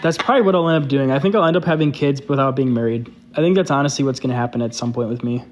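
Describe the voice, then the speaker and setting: A man's voice: medium pitch, rapid-fire, a little hiss or hum. A young man chatting casually on a live stream, loose and candid, filling his talk with "like". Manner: loose and candid, heavy use of "like". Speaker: social media creator hosting a live stream.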